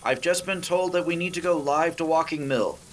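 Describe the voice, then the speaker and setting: A man's voice: on the high side, normal speed, slight background noise, natural voice. A man playing a formal, drily bemused announcer in a 1930s-style radio spoof.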